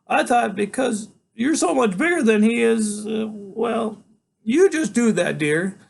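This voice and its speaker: A man's voice: sits high, lively intonation, measured pace, vocal fry, slightly rough, voice pushed up, exaggerated voice. A man voicing a fussy, doting grandmother who worries a lot. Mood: worried.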